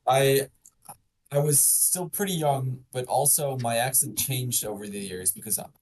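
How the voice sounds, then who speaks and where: A man's voice: medium pitch, a little slow, a little mellow, low-quality recording. A man from the Netherlands, chatting as a guest and telling a self-deprecating story.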